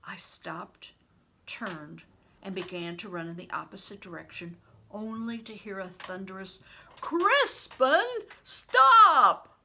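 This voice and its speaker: A woman's voice: lowish voice, steady tone, unhurried, a bit bright, slight background noise. An older woman, a retired reading teacher, reading a story aloud with dramatic, emphatic expression.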